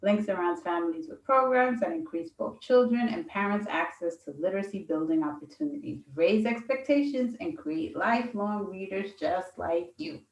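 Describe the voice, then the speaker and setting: A woman's voice: mid-range voice, moderate pace, hardly any pauses. A woman hosting a children's read-aloud program, giving a scripted welcome to families and young listeners. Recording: roomy, echoey sound.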